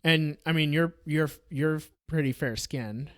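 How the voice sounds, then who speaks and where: A Brazilian-born man with an American accent hosting a casual podcast interview, relaxed and often restarting phrases. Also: a little high, measured pace, smooth. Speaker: podcast host.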